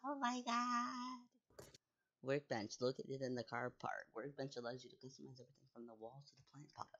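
A woman's voice: rumbling low, expressive, fairly quick, fairly bright tone, dull audio. A woman hosting a gaming channel, chatting playfully and teasing a friend while they play. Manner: playful, teasing, gasping and exclaiming. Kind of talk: casual gameplay chat with a friend.

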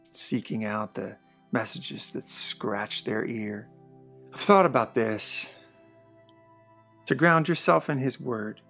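Gravelly, husky man talking to camera. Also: mid-range voice. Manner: earnest, conversational, with emphatic bursts and quiet asides. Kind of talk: reflective monologue to camera. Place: dark studio backdrop, indoors.

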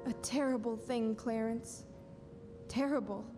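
A woman's voice: fairly high pitch, steady tone, measured pace, warm tone, faint music behind, natural voice. A woman playing a heavenly angel who tells the story in a live radio play. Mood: grave. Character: heavenly angel elder narrating to Clarence. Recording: echoey hall with long reverb.

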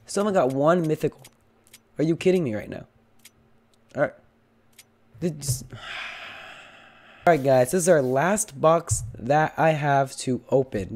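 A young man with a hoarse, cracking voice from illness, chatting casually as he opens loot boxes and pleads for better luck. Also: a little high, unhurried, pauses often, darkish tone. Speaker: gaming channel host. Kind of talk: casual game commentary.

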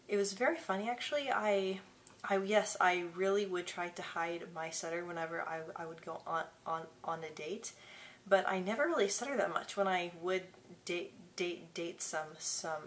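A woman's voice: lowish voice, fairly bright tone. A young woman in her twenties talking casually to camera in a small bedroom, stuttering with many repeated syllables and blocks. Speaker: vlogger. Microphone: camera mic at desk distance.

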